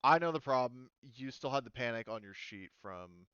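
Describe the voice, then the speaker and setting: A man acting as game master in a casual online roleplaying session, thinking aloud and halting as he checks rules. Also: medium pitch, expressive, a bit bright, clear, resonant tone, dull audio.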